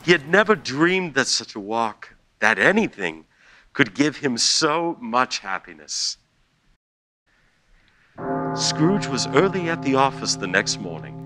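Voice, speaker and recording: A man narrating a radio play as a scripted story, theatrical and measured. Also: medium pitch, very animated, bright, forceful tone, faint music behind.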